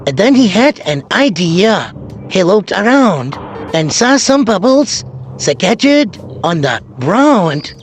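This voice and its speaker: Slow, high-pitched, animated male storyteller. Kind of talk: children's fable narration. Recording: noticeable background noise and ambience.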